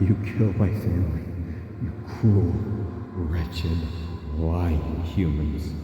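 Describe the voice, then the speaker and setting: A man voicing a proud, vengeful, anguished demon royal in an audio drama, declaiming bitterly and haltingly through a reverb effect. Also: low-pitched. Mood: anguished, enraged. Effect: heavy reverb of a memory scene. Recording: long echoing reverb, dull sound, faint noise.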